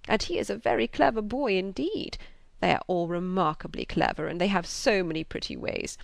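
A woman voicing a gentle, fretful, doting old father in an audiobook, plaintive and hesitant, rambling along. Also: mid-range voice, fairly quick, vocal fry, dry recording, natural voice. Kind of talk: audiobook character reading of a classic novel.

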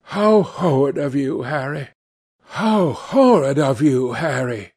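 A man's voice: fairly high pitch, expressive, takes it slowly, creaky, darkish tone, husky, pitched above the performer's own voice, full character voice. A man voicing a witty, coquettish aristocratic duchess in an audiobook, in an arch manner. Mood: shocked, reproachful.

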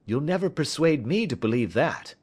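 A man voicing a cool, shrewd gentleman detective in an audiobook, with a polite, careless, lilting manner. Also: medium pitch, very animated, moderate pace, breathy edge, voice pushed up. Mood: stubbornly goading. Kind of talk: audiobook character dialogue.

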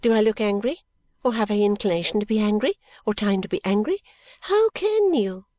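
A woman's voice: mid-range voice, animated, fairly quick, mellow, no echo, clean studio sound, natural voice. A woman voicing a serene, unsentimental middle-aged mother in an audiobook, airily brushing things off. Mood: dismissive. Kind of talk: audiobook character acting.

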